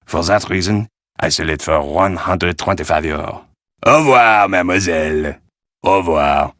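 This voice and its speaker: An actor playing a polite, insistent Parisian market vendor in a video game, speaking formally with a French accent. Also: male voice, sits low, normal speed, fairly bright tone, no room sound, studio quality. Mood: emphatic. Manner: courteous, formal salesman's patter. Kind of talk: video game character dialogue.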